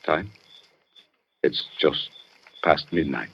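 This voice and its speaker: An actor playing a sinister, secretive husband in a radio suspense drama, speaking tersely in a hushed, controlling way. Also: male voice, very deep voice, takes it slowly, gravelly, full character voice. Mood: quiet menace. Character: sinister, secretive doctor husband with quiet menace. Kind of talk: old-time radio suspense drama acting. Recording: dull, faintly noisy vintage radio recording.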